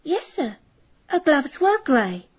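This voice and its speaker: High-voiced, deferential maid. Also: female voice, sing-song, takes it slowly, full character voice. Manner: respectful, precise, matter-of-fact. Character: deferential female maid answering a detective's questions. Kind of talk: radio drama acting. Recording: dull, muffled radio drama recording.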